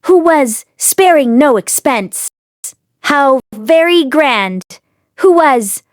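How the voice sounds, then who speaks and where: A woman reading an audiobook as dramatic storytelling, with expressive, emotive delivery. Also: high-pitched, slow, no echo, clean studio sound. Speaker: audiobook reader.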